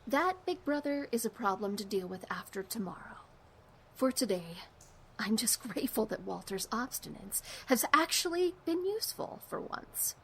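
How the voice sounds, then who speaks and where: A young woman acting in an audio drama as a sharp, wry, tech-savvy younger sister. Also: on the high side, big pitch swings, moderate pace, a little hiss or hum. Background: music.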